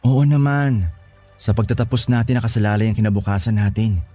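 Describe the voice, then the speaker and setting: A man's voice: fairly low pitch, brisk, mellow, soft background music, lightly acted. A man playing a devoted young boyfriend in a Filipino radio romance, speaking earnestly and tenderly. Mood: reassuring, tender. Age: young adult. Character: devoted young boyfriend leaving to study in the city. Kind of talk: Tagalog radio romance drama acting.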